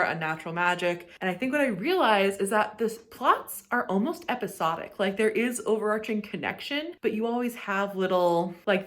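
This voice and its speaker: A woman's voice: mid-range voice, a bit bright. A woman chatting casually and enthusiastically in a bookish, rambling reading vlog. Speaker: book vlogger and author. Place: on a road trip, in car and hotel rooms.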